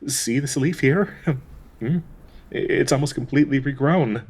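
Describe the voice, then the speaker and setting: Guy acting as a soft husky dryad lover, slow n stammery, tender, nervously hopeful, audio roleplay. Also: mid-range voice. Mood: hopeful, encouraging. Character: gentle male dryad tree spirit, devoted, anxiously hopeful lover. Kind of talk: intimate scripted audio roleplay.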